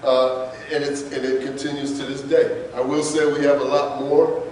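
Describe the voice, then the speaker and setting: A man's voice: mid-range voice, level tone, moderate pace, darkish tone, a little hiss or hum. A man giving an impassioned, emphatic lecture to an audience, with frequent rhetorical questions. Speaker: guest speaker. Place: large lecture room. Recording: echoey hall recorded from far off.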